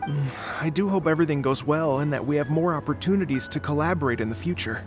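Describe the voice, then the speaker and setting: A man plays an earnest young musician from a video game, effusive and heartfelt. His voice sits a little high for a man. Music plays behind him. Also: quick pace, close to an everyday voice. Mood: hopeful. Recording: music under the voice.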